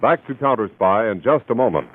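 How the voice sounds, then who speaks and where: An emphatic male radio announcer from an old broadcast, his voice swinging widely between high and low. The recording is thin and narrow, with a faint hiss underneath. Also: animated, fairly quick. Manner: emphatic, salesy in ads.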